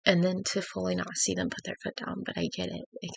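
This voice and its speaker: A woman who has just woken up, speaking in a gravelly voice as she talks through a personal struggle at home on her laptop, hesitant and reflective with many fillers and restarts. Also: fairly low pitch, little pitch movement, fast, no room sound. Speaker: faith vlogger. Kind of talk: personal confessional talk to camera.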